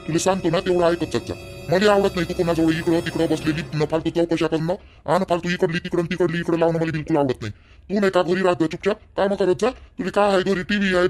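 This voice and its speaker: Guy acting bossy angry husband in village cartoon, vidarbha marathi accent, talks fast, kinda high voice. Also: a little mellow, lightly acted. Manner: scolding, domineering, repetitive. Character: angry, controlling young husband in a village family. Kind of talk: family drama cartoon dialogue.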